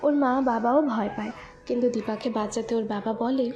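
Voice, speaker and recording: A woman's voice: fairly high pitch, steady tone, normal speed, a little mellow, highs cut off. A woman giving scripted, dramatic narration of a story as its narrator.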